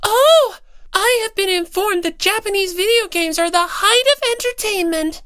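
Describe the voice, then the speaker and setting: Refined, starry-eyed teen girl voiced by a woman: very high, formal and quaint, dry recording. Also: moderate pace, a bit bright, no room sound. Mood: delighted.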